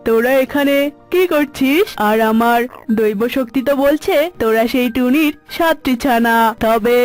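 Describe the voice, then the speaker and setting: A woman voicing a commanding but kindly magical owl sorcerer in a cartoon, with drawn-out delivery. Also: sits high, soft background music, voice pushed down. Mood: curious, commanding.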